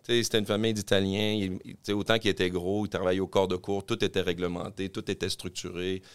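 A man from Quebec City with a Québécois accent, a podcast guest telling his business story in fast, rambling casual chat. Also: low-pitched, little pitch movement, clean tone. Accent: Québécois French. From Quebec City, Canada. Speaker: podcast guest, clothing-store co-founder.

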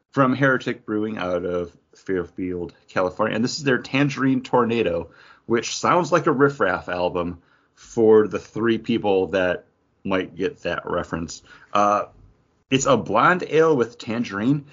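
Lowish voice guy cohosting a podcast, casual reviewing, slangy asides, bit muffled lofi sound. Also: fairly bright tone. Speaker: podcast host.

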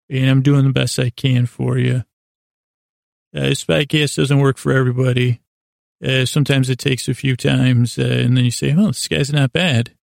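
Very gravelly, rambling male podcast host. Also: mid-range voice, no room sound, studio quality. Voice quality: gravelly, creaky voice. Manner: meandering, halting, often trailing off and circling back. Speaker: host of a bedtime sleep podcast. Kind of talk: rambling, unscripted bedtime monologue.

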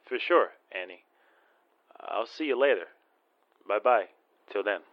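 A man's voice: lowish voice, expressive, a little slow, no echo, dull audio. A man playing an earnest, curious young man in a radio drama, with a boyish, anxious manner. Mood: concerned.

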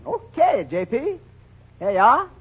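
Wry tavern waiter, radio comedy, lively high voice, dull vintage recording. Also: male voice, unhurried, breathy edge, full character voice. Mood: questioning. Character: deadpan male tavern waiter, needling the boss. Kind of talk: 1940s radio comedy acting.

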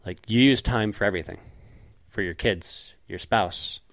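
A man's voice: fairly low pitch, normal speed, fairly bright tone. A man co-hosting a podcast in casual chat, explaining points at length with frequent ums. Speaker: podcast co-host.

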